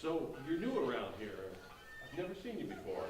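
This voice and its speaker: A man's voice: medium pitch, huge pitch swings, moderate pace, music in the background. A man playing a probing small-town sheriff in an amateur staged play reading. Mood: wary. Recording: very echoey hall, distant, noisy.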